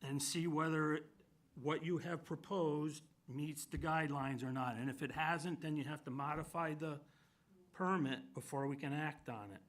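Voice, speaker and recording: A man's voice: fairly high pitch, fairly even intonation, moderate pace. A man explaining things informally and matter-of-factly as a commission member at a public meeting. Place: indoor municipal meeting room. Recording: noisy town meeting room.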